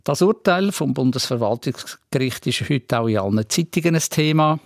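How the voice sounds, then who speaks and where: Swiss german guy reading regional news on radio, bit of fry in the voice, very dry sound. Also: mid-range voice, animated, moderate pace, dry recording.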